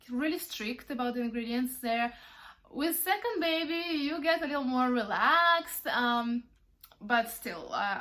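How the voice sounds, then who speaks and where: A young woman from Ukraine whose first language is Russian, talking in a relaxed, candid way as she answers viewer questions. Her voice sits a little on the high side, and her sentences are full of ums and uhs. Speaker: vlogger, mother. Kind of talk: casual Q&A while wrapping gifts.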